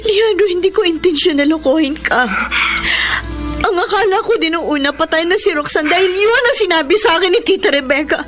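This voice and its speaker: A very high, tearful female voice with a Filipino accent, a lovesick woman who feels guilty and begs forgiveness. It is radio melodrama with faint music underneath. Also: expressive, brisk, fairly bright tone. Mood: regretful, explaining. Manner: tearful, pleading, faltering. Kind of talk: Philippine radio drama acting.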